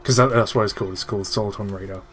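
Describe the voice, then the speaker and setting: A man's voice: lowish voice, fairly even intonation, racing, soft background music. A man hosting casual gaming commentary, swearing freely and explaining things with enthusiasm. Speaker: host playing and explaining a video game. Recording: clearly noisy room recording.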